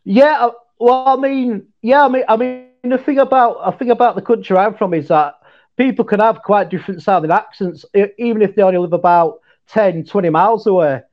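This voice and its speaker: A man's voice: high-pitched, a little fast, lo-fi sound. A man from Leeds with a Yorkshire accent, chatting as a guest and hesitating with lots of fillers. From Leeds, northern England. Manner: hesitant, many fillers, says mate a lot. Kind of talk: casual chat.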